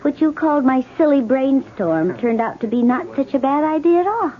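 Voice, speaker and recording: An actress playing a cheerful wife hosting a party in an old radio drama. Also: female voice, sits high, animated, moderate pace. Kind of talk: 1970s radio drama acting. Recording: tinny lo-fi old radio broadcast.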